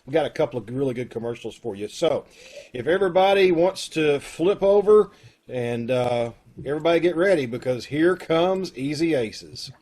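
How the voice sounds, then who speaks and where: Man, host, slightly high voice, chatty, friendly, video call mic. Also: lively intonation, moderate pace, a little mellow. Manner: chatty, friendly, loose with fillers. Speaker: host of a community radio troupe. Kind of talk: casual chat.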